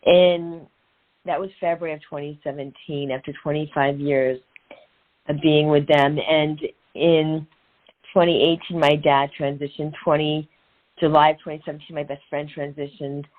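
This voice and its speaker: A woman's voice: deep voice, little pitch movement, normal speed. Middle-aged woman, earnest motivational coach, phone-like sound. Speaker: guest, transformational and women's empowerment coach. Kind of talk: conversational interview, motivational storytelling.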